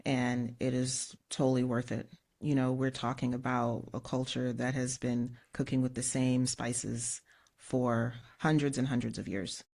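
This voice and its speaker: Flat-voiced American guest. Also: mid-range voice, a little slow, vocal fry. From United States. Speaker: guest, business co-founder. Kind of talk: casual interview chat.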